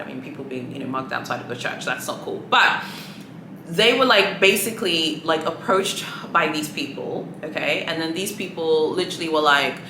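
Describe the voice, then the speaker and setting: Swahili-native woman vlogger, low voice, chatty and sassy, echoey room with audible noise. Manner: chatty, many fillers, sassy asides. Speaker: luxury shopping vlogger. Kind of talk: casual chat to camera.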